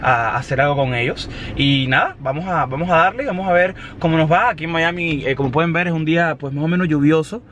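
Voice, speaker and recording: A man's voice: fairly high pitch, fast talker, hardly any pauses, fairly bright tone, slight background noise. A man in his twenties from Cuba with a Cuban accent, talking casually to the camera as a vlogger. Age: 20s. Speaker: vlogger, model. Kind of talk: casual vlog talk to camera. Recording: music behind the voice. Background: background music.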